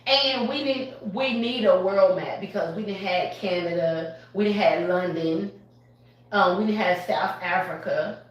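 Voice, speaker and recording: A woman, a barbershop owner speaking on a live panel in an animated, emphatic way, often laughing. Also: mid-range voice, measured pace, highs cut off. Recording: echoey room from far off.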